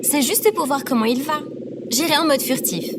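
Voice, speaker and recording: Woman as a high-voiced, earnest robot assistant. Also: moderate pace, close to an everyday voice. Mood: earnest, polite. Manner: polite, earnest. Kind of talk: scripted audio drama acting. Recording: very noisy background ambience.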